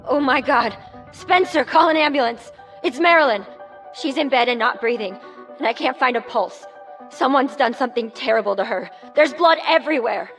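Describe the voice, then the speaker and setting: A frantic neighbor woman in a true-crime audio drama. Her voice sits fairly high and is full of alarm, with music playing behind it. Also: expressive, moderate pace, a bit bright. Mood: panicked, horrified. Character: frantic neighbor woman finding a murdered friend.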